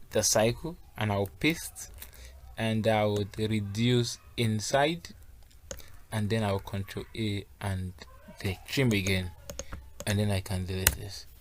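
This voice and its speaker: Male design tutor walking through steps. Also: fairly low pitch. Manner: instructional, repeatedly checking that learners follow. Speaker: graphic design instructor. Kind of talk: step-by-step software tutorial.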